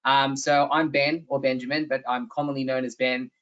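A man's voice: medium pitch, flat delivery, moderate pace, fairly bright tone, distant mic. A man with an Australian accent presenting a tax webinar over a video call, earnest and explanatory, with frequent "uh"s and restarts. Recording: slightly dull video-call audio.

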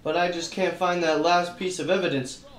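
A man's voice: sits high, fairly even intonation, moderate pace, reverberant. A man narrating and acting as a wisecracking detective in a church radio play. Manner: wisecracking, hard-boiled narration.

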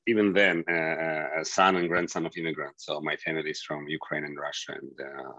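A deep, low-voiced man from Georgia speaks from a home office over a video call. The sound is dry and dull, with little room in it. He talks in a relaxed, halting way, restarting phrases as he hunts for the right word. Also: dry recording. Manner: halting, restarts phrases while searching for words. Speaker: scientist guest. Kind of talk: relaxed interview answers.

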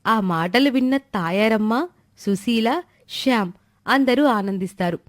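High-pitched woman narrating a moral tale. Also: animated, unhurried, no room sound, studio quality. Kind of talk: scripted moral storytelling narration.

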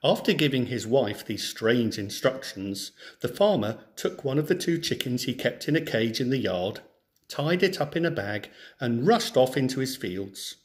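A man tells a children's story. His delivery is theatrical and expressive, and his medium-pitched voice rises and falls widely. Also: normal speed. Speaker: storyteller, teacher. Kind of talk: animated children's story reading.

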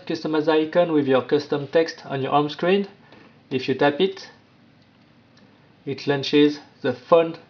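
A man presenting an informal step-by-step app tutorial, with halting, non-native phrasing. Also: on the high side, creaky. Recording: dull, lo-fi sound.

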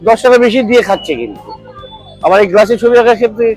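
A man's voice: high-pitched, lively intonation, very fast, a little mellow, soft background music. A middle-aged man with a Kolkata Bengali accent giving informal interview answers in a conversational way, checking that the listener follows. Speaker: coffee stall owner and cartoonist.

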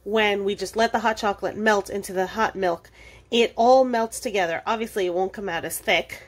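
A woman's voice: mid-range voice, moderate pace. A woman hosting an informal step-by-step cooking tutorial, explaining casually as she works with her hands at a kitchen counter. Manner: casual, explanatory, talking while working with hands. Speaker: cooking demonstrator, host of a library cooking show.

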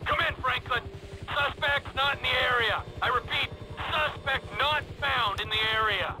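An actor playing a volatile, sarcastic criminal pilot in a video game, with a theatrical, menacing manner. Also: male voice, very high-pitched, moderate pace. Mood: mock-official. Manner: ranting, theatrical, mock-official radio talk. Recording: loud vehicle noise behind the voice.

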